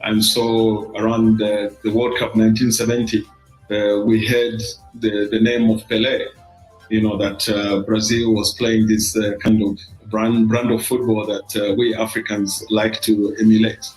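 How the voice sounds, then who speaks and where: Halting, flat-voiced man from Zambia. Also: on the low side, echoey room, soft background music, rough recording. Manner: halting, with many fillers and restarts. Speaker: former football captain interviewed on TV. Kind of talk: televised interview answer.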